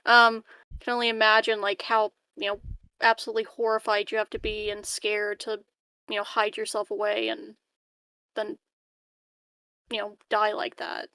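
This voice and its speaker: A woman's voice: mid-range voice, completely flat delivery, normal speed, pauses often, pushed and bright, clear, resonant tone, dry recording, rough recording. A woman chatting casually as a player in a tabletop roleplaying game, swearing freely and poking fun at herself. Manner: self-deprecating, swears freely. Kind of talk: casual in-game chat, often describing her character's words.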